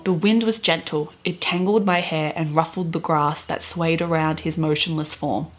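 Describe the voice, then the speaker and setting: A young woman with a fairly low-pitched voice speaks over music. Her delivery is theatrical and expressive, moving between dramatic reading and chatty vlog commentary. She sounds like she is talking to a camera in a small room. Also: normal speed. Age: young adult. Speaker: book vlogger. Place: small bedroom, indoors.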